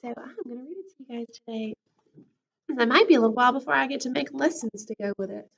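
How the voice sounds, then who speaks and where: A woman school counselor reading a picture book to children in an expressive, casual storytime style. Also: on the high side, quick pace, creaky, dull audio, slight background noise. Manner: animated, acts out the character lines. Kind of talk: expressive children's read-aloud with casual asides.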